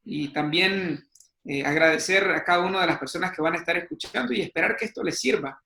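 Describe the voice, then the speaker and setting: Young guy, high bright voice, echoey room. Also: fairly quick, vocal fry, slight background noise. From El Salvador. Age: young adult. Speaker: student guest.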